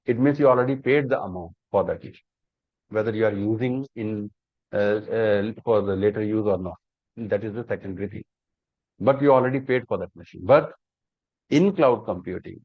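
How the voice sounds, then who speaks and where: A man's voice: on the low side, no room sound. A man, teaching a live online class on cloud computing and explaining step by step with many repeats and rhetorical questions. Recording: dull lo-fi dry online class audio.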